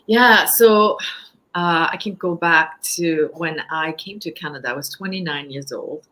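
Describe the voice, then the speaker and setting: A woman in her fifties from Japan, speaking English with a Japanese accent as a guest in a casual interview, halting, with many fillers and restarts. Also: sits low, fairly bright tone. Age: 50s.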